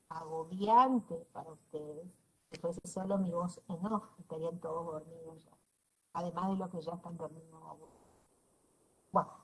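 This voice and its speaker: A woman's voice: medium pitch, a little hiss or hum, poor audio. A middle-aged woman from northeastern Argentina with a northeastern Argentine accent, giving an online class lecture over a video call. She explains step by step, keeps checking in with her listeners and drops in dry, teasing asides. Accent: northeastern Argentine Spanish. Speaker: university lecturer.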